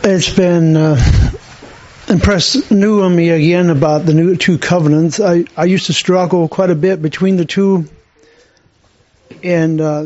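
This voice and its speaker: Man sharing reflections at a church meeting, hesitant and restarting, higher pitch, muffled recording. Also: darkish tone. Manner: halting, many restarts and fillers. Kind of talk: unscripted church discussion. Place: room with a group. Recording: dull lo-fi sound.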